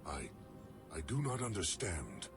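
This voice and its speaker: A man playing a proud but troubled alien warrior commander who speaks gravely and formally in a game cutscene. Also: rumbling low, wildly swooping pitch, a little slow, over music, full character voice.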